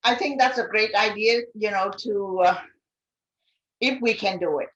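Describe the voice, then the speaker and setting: A woman who speaks English as a second language, talking earnestly and haltingly in a panel discussion. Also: mid-range voice, a bit bright, muffled recording. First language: not English. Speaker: immigrant and refugee advocate on a panel.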